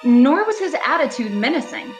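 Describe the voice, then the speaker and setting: A woman's voice: high voice, sing-song, normal speed. Woman acting an uneasy lone motorist over music. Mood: uneasy, reflective. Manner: dramatic first-person storytelling. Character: uneasy, haunted woman motorist driving alone cross-country. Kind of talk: amateur radio thriller acting.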